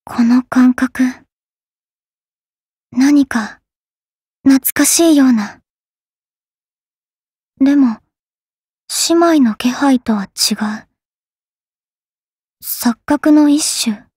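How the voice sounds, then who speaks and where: A woman's voice: sits high, drawn-out words, pauses often, mellow, breathy edge, no room sound, studio quality, exaggerated voice. A woman playing an earnest, reserved young valkyrie in an anime game, speaking hesitantly. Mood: troubled. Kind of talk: anime mobile game voice acting.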